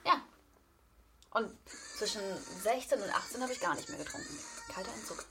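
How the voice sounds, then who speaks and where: A woman's voice: a little high, expressive, normal speed. An actress playing a candid, breezy young party girl in a naturalistic radio drama, frank and casual, with music underneath. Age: young adult.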